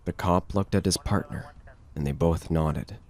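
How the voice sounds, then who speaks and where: A man's voice: sits low, a little slow, a little mellow. A man reading scripted narration for a horror audio drama.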